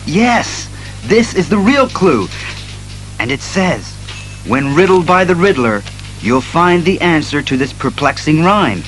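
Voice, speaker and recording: An actor playing an earnest, methodical masked detective hero who reasons aloud in a declamatory audio drama style. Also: male voice, fairly high pitch, big pitch swings, fairly bright tone, faint music behind. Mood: earnest, resolute. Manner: deliberate, declamatory deduction.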